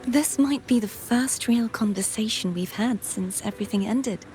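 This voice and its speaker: A woman's voice: a little high, moderate pace, dark tone, a little hiss or hum, natural voice. An actress playing a gracious, earnest young noblewoman with a formal manner in a video game. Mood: solemn gratitude.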